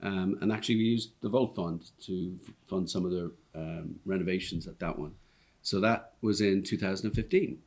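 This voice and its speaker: A man's voice: low voice, moderate pace, a little mellow. A man in his fifties with a Dublin Irish accent, a restaurant owner telling his story in an easygoing, rambling way with plenty of ums. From Ireland. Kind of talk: casual interview chat. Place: inside one of his restaurants.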